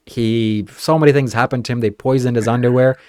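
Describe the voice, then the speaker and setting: A man's voice: medium pitch, steady tone, a little fast, darkish tone, no room sound, clean studio sound. A young man from Ukraine chatting casually on a podcast, given to sarcastic jokes and asides. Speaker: podcast host.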